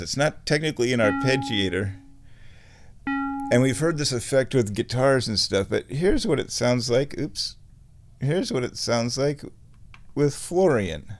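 A man with a medium-pitched voice talks slowly through a casual demonstration of electronic music gear. He is unscripted and halting, rambling as he thinks aloud.

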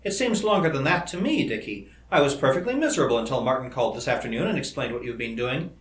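A man's voice: medium pitch, animated, quick pace, natural voice. A man voicing a devoted, spirited young woman in a storybook, tender and teasing in her directness. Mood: fond, teasing. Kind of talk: old-fashioned storybook dialogue. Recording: roomy, echoing indoor recording.

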